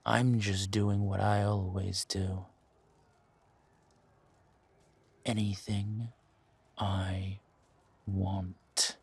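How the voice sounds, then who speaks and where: A man playing a ruthless, mocking warrior villain in a fantasy audio drama, with a husky voice and a deliberate, taunting delivery. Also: deep voice, flat delivery, full character voice. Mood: cold menace.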